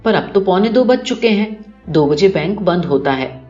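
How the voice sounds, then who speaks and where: A woman narrator voicing a bald old office manager in a storybook reading, gentle, silky-polite and reassuring. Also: medium pitch, animated, natural voice. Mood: smiling, polite. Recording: dull lo-fi recording with music behind.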